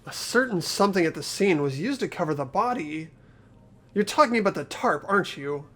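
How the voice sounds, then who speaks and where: A man's voice: sits high, animated, fairly quick, a bit bright. A man voicing an anxious, earnest teenage boy in fan-made visual novel game dialogue. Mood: startled, questioning. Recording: music behind the voice.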